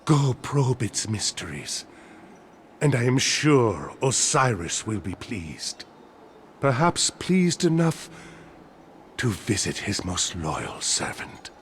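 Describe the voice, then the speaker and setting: An actor playing a devout, self-important cult scholar in a video game, speaking with pompous reverence. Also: male voice, medium pitch, very animated, takes it slowly, slight background noise. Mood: mystical, devout. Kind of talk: video game character acting.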